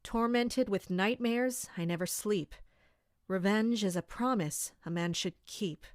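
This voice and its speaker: A woman playing a dutiful, serious captain of an elite armored guard in an audio drama, speaking in a measured way. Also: medium pitch, no room sound, clean studio sound, lightly acted. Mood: reciting darkly. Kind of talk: audio drama acting with first-person narration.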